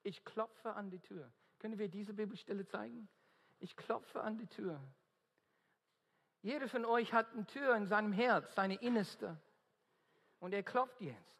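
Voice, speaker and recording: A man's voice: sits high, unhurried, vocal fry, fairly bright tone, breathy edge. A man with an Australian accent preaching an earnest, emphatic sermon in German, often searching for his words. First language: English. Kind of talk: evangelistic sermon. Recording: long reverb of a hall.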